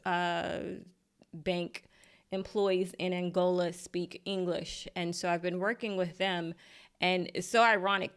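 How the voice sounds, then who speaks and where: Chatty, lower-voiced woman coaching a live workshop. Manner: chatty and encouraging, laughs at her own slips. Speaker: LinkedIn marketing coach. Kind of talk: live online workshop teaching. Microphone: desk mic.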